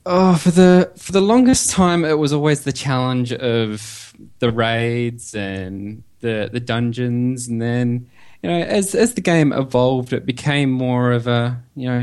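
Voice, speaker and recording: A man with an Australian accent and a medium-pitched voice. He is a podcast guest, answering questions in a casual, conversational way. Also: a little mellow. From Perth, Western Australia. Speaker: podcast guest, website developer. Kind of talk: casual interview chat.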